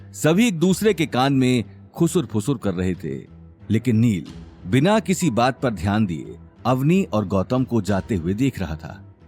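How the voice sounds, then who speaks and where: Animated male drama narrator over music. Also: medium pitch, measured pace. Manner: dramatic, expressive. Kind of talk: scripted audio drama narration in Hindi.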